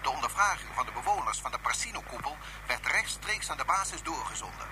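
Flustered military aide, high and lively. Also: male voice, quick pace, background noise. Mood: formal, defensive. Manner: formal, submissive reporting. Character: deferential, flustered male military aide to a tyrant. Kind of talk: science-fiction radio drama acting.

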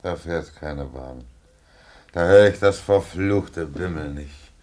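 An actor playing a bitter, hard-drinking former tram driver in a radio play, hesitant and grumbling. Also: male voice, low-pitched, slow pace, a little mellow. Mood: doubtful, weary. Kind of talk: naturalistic German radio play dialogue.

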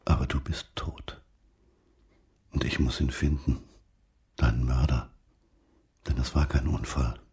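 An actor playing a grieving, haunted and determined man in an intimate, measured radio drama monologue. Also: a bass voice, fairly even intonation, darkish tone, a little hiss or hum.

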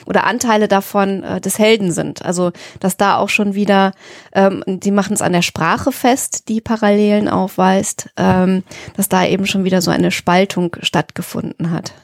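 A woman's voice: mid-range voice, steady tone, moderate pace, vocal fry, no room sound, studio quality. A woman co-hosting a podcast, explaining things conversationally and hesitantly, thinking aloud in long winding sentences. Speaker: podcast co-host.

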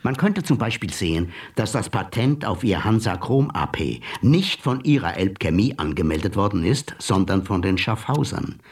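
An actor playing a cool, mocking male blackmailer in a radio play, smooth and unhurried. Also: lowish voice, big pitch swings, far from the mic. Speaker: blackmailing hacker visitor. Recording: voice set back from mic, music behind.

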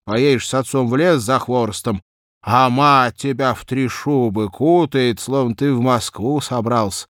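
A man's voice: mid-range voice, measured pace, a bit bright, dry recording, clean studio sound, close to an everyday voice. A man voicing a simple-hearted young peasant cabman with speech, rambling in a folksy, plaintive way for an audiobook. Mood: plaintive, nostalgic. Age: young adult. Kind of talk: audiobook character acting.

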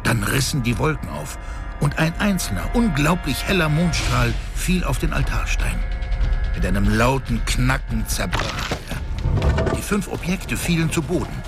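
A man's voice: medium pitch, lively intonation, measured pace. A man narrating a fantasy radio drama as scripted, dramatic storytelling. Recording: loud music under the voice.

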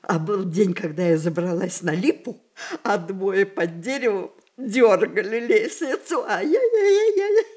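An actress playing a spirited, imperious old woman in a radio play, coaxing and reminiscing in an expressive, theatrical way. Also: medium pitch, unhurried. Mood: wistful, excited. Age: older.

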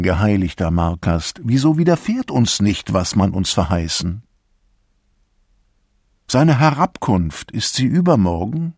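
A troubled male disciple of the early church in a radio drama. He declaims slowly in archaic language, solemn and deliberate, with bitterness and indignation toward those who have cast him out. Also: mid-range voice, a little mellow, breathy edge, no room sound, studio quality, full character voice. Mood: solemn, pleading. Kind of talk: literary radio drama monologue.

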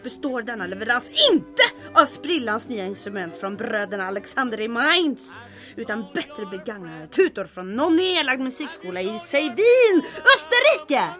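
Sharp, bossy wartime resistance leader. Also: female voice, a little high, sing-song, faint music behind. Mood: brisk, impatient. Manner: commanding, impatient. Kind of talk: old-time radio adventure comedy acting.

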